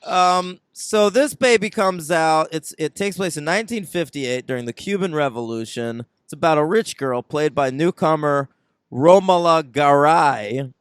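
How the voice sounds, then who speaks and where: A man's voice: on the high side, measured pace, smooth, no echo. A man co-hosting a film podcast, chatting casually and joking as he reviews movies. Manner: joking, chatty, laughs often. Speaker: podcast co-host.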